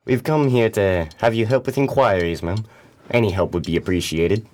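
A man playing a courteous police inspector in a radio play, asking questions in a measured way. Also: lowish voice, darkish tone, lightly acted. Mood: polite, probing. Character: polite, probing male police inspector.

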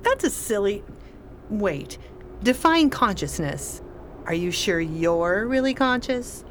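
An actress playing a wry, weary, irritable pregnant wife with a dry manner in a radio play. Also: female voice, medium pitch, sing-song, takes it slowly, a little hiss or hum. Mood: testy.

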